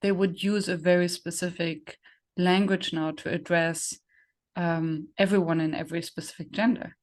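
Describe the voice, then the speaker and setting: Woman, German accent, slightly low pitch, podcast host, conversational, reflective, halting phrasing. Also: steady tone, a little mellow. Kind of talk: conversational interview chat.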